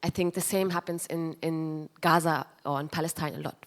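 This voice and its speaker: Woman moderator with lowish voice. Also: steady tone, moderate pace. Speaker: panel moderator. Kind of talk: thoughtful panel question.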